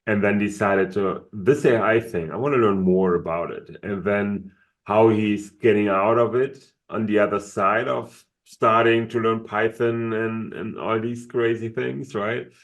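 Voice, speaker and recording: A man co-hosting a podcast in casual chat, often pausing to search for words. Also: lowish voice, fairly even intonation. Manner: searches for words, many fillers. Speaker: podcast co-host.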